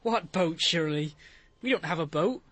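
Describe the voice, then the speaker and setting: An actor playing a smooth-talking, wheedling man in a radio drama. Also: on the high side, lively intonation, normal speed, a bit bright, no room sound. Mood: startled.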